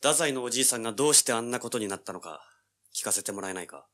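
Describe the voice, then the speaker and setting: An actor playing a self-assured, hard-boiled teenage treasure hunter, narrating and speaking in an audio drama. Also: male voice, mid-range voice, expressive, racing, fairly bright tone, close to an everyday voice. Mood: serious, guarded. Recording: music behind the voice. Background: background music.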